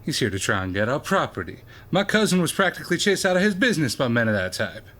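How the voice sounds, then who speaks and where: A man's voice: on the high side, very animated, fast, a bit bright. A man playing a wary, protective small-town diner cook in an audio drama, suspicious and terse.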